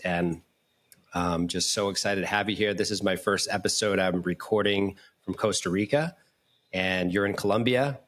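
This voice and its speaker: A man's voice: sits low, fairly bright tone. A man hosting an interview podcast, speaking in an earnest, effusive and encouraging way. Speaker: podcast host. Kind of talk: podcast intro and interview talk.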